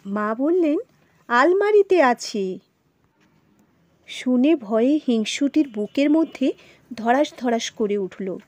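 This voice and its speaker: A woman storyteller narrating a children's story in an expressive, dramatic and emphatic way. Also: on the high side, measured pace, dry recording.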